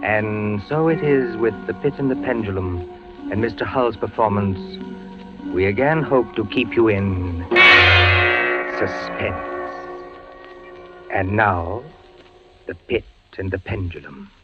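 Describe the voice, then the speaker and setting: Male 1940s radio announcer, low voice, ominous, measured, formal, muffled vintage recording, music behind. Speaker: narrator and announcer, show host. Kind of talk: 1940s radio mystery introduction, scripted.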